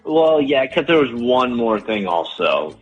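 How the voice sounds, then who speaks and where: A man's voice: medium pitch, big pitch swings, a little slow, lo-fi sound. A man calling in to a radio show, explaining things hesitantly in a casual conversational way. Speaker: phone-in caller.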